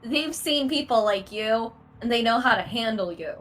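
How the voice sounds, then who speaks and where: A woman's voice: a little high, lively intonation, normal speed, fairly bright tone, soft background music. A woman chatting casually and supportively.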